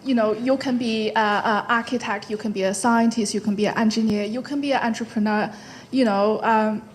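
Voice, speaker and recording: A woman from China, answering spontaneously as a panel guest and speaking thoughtfully in short phrases with frequent pauses. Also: fairly high pitch, fairly even intonation, a little hiss or hum. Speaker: panel guest, tech founder.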